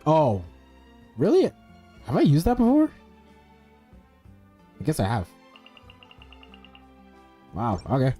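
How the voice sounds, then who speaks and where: Casual gamer streaming, mellow husky voice, swears and teases, faint music behind. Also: mid-range voice, wildly swooping pitch, takes it slowly, pauses often. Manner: blunt, profane, teasing. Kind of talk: casual gameplay commentary.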